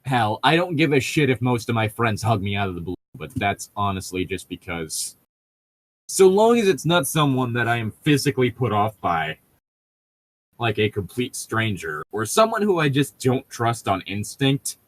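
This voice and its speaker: A man's voice: medium pitch, big pitch swings, normal speed. A young man in casual, joking, profane chat as a co-host reading a book aloud with commentary. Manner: profane, bantering.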